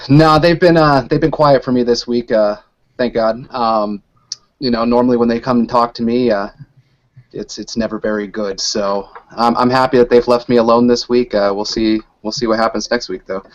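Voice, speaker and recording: A man's voice: mid-range voice, fairly even intonation, normal speed. A man co-hosting a radio show in casual, conversational chat. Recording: dull, lo-fi audio.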